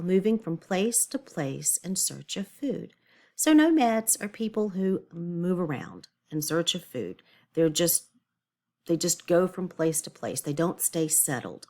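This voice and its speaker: A woman teacher reading a lesson aloud to children, stopping to explain words simply in an instructional way. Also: lowish voice. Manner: didactic, checks understanding, explains words simply.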